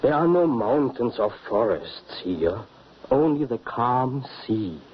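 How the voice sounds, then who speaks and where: An actor playing a calm, grave, kindly husband in an old-time radio drama, on a muffled, hissy recording. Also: male voice, medium pitch, slow pace, breathy edge, full character voice. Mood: soothing.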